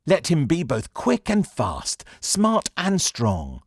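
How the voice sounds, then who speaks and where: A man's voice: a little high, wildly swooping pitch, takes it slowly, husky, dry recording, studio quality, voice pushed up, full character voice. A man voicing a proud, earnest swordsman in an audiobook, intense, impassioned and courteous. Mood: fervent yearning. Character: proud, earnest swordsman, male, yearning for a challenge.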